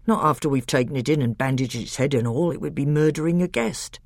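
A reader voicing a blustering but decent male red dwarf who talks briskly and indignantly. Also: medium pitch, creaky, no echo, clean studio sound.

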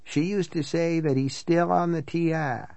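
Man voicing a higher-pitched blunt country housekeeper woman. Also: steady tone, moderate pace, vocal fry, darkish tone, dry recording. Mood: matter-of-fact, grim relish. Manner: folksy, matter-of-fact storytelling. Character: older small-town Maine housekeeper woman, blunt, gossipy, matter-of-fact. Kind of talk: audiobook character dialogue.

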